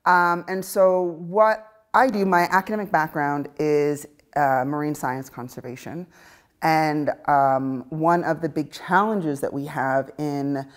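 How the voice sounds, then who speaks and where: A woman's voice: low voice, fairly even intonation, takes it slowly. A woman, a marine conservationist, explaining her work in an interview with frequent laughs. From Bahamas. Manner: warm, laughs often, explanatory. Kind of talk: interview conversation.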